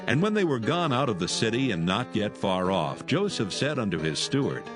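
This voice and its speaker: Male narrator, lowish voice, solemn scripture narration, varied melody, music underneath. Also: very animated, moderate pace. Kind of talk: solemn dramatised Bible narration.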